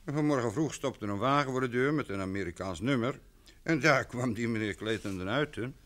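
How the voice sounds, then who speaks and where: A man's voice: on the low side, fairly bright tone, natural voice. A man acting in a vintage radio drama as an obliging, chatty innkeeper. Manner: chatty, with verbal tags.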